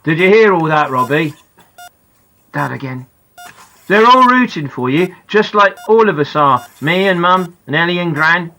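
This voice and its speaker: Reader doing a tender, pleading dad, bright tone, audiobook. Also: mid-range voice, expressive, normal speed, rough recording. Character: worried father pleading with his son.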